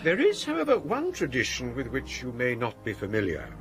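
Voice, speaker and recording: An older English college provost in a radio drama. He speaks formally and courteously, with a lively, swooping delivery and the measured phrasing of a ghost-story teller. Soft music sits behind him. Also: medium pitch. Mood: courteous, dry. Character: older scholarly Edwardian college provost telling ghost stories.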